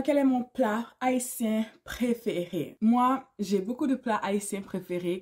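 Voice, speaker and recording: A chatty woman with a Québécois French accent, talking casually to her camera in a vlog. She sounds in her thirties, her voice sits at an ordinary pitch, and she drops English words into her talk. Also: dark tone. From Canada. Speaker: YouTuber.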